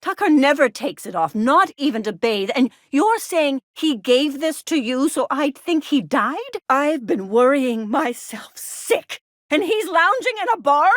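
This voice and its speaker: A woman's voice: sits high, lively intonation, unhurried, no echo, clean studio sound. An actress playing a doting, anxious middle-aged frontier mother in a video game, with a melodramatic, folksy manner. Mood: hurt, disbelieving. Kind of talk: video game character dialogue.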